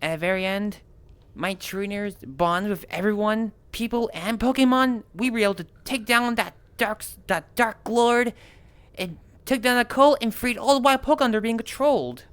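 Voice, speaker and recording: A man voicing an eager young water creature companion, telling stories earnestly and haltingly in an improvised game roleplay. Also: high voice, fairly bright tone, smooth, pitched above the performer's own voice. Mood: eager, proud. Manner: earnest, stumbling storytelling. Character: eager young male water Pokemon companion recounting adventures.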